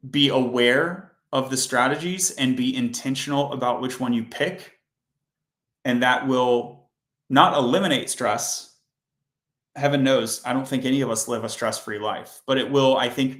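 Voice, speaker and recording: A man hosting a coding livestream, chatting casually and rambling with self-corrections, his voice hoarse and fading. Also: medium pitch, a bit bright, echoey room.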